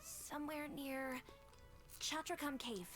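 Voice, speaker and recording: A woman's voice: high voice, steady tone, takes it slowly, a bit bright, faint music behind. An actress playing a hesitant but helpful young woman in a video game.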